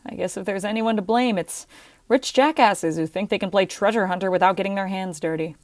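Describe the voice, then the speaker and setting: A woman's voice: mid-range voice, fairly quick, dry recording, lightly acted. A woman playing a bitter, self-blaming navy veteran submarine captain in an amateur audio drama. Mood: bitter, angry.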